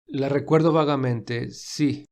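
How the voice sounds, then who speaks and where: Man acting gentle romantic hero, mid-pitched, polite, measured, sincere, caring, audio drama. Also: steady tone. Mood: hesitant. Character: Albert, gentle, caring, private gentleman in love. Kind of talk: dramatized romantic fan fiction.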